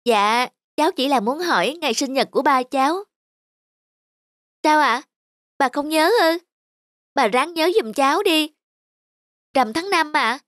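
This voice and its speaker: A young woman playing a devoted, sentimental adult daughter in a cartoon drama, speaking in an expressive, earnest way. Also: high-pitched, a little slow, long pauses, no room sound, clean studio sound. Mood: polite, questioning. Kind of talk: animated cartoon drama acting.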